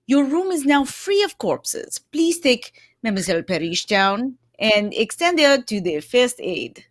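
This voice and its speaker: Casting: woman as fussy, courteous Belgian sleuth, animated delivery, dry close sound, radio mystery. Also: fairly high pitch, measured pace, no echo. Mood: composed, wry. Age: young adult. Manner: theatrical, courteous, precise. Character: Poirot, fussy, self-assured Belgian male detective. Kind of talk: live reading of old-time radio mystery script.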